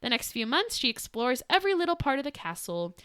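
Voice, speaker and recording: A woman's voice: sits high, very animated, brisk, fairly bright tone, clean tone, no room sound, studio quality. Giggly young woman retelling a story. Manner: giggly, sarcastic, acts out quotes in voices. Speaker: podcast co-host. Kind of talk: casual storytelling chat.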